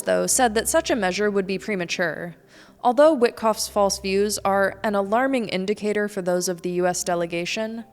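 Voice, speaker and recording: A young woman from the United States delivers a scripted weekly news rundown in a mid-range voice, with dry, pointed asides. Her voice carries a long reverb tail. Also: medium pitch. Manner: pointed, sardonic commentary. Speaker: news presenter.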